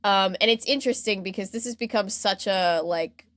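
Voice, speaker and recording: A woman's voice: medium pitch, moderate pace, a bit bright, dry recording. A woman hosting a podcast, telling a story in a casual, chatty, informal way and acting out imagined reactions.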